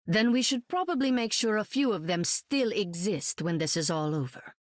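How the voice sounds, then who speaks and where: A woman's voice: medium pitch, animated, normal speed, no room sound, clean studio sound, lightly acted. A woman voicing a weary, haunted sorceress in a video game, solemn and wistful. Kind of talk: video game character acting.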